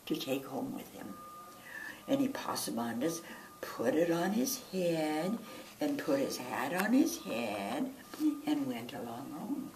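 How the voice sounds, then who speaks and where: A woman reading a storybook aloud as a children's storyteller, in an animated style. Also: deep voice, takes it slowly. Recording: roomy, distant mic, faint noise.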